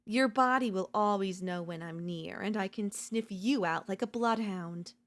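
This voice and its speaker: A woman playing an obsessive, domineering yandere in a dark ASMR roleplay, seductive and smug, slow and teasing, slipping into whispers. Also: medium pitch, natural voice. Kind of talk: dark ASMR audio roleplay, intimate dramatic acting.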